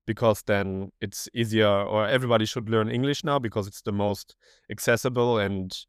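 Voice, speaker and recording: A man's voice: lowish voice, fairly even intonation, moderate pace, clear, resonant tone, no echo, clean studio sound. A young German man whose first language is German, talking as a podcast guest in casual conversation, hesitant and full of restarts as he thinks aloud. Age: young adult. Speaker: guest researcher.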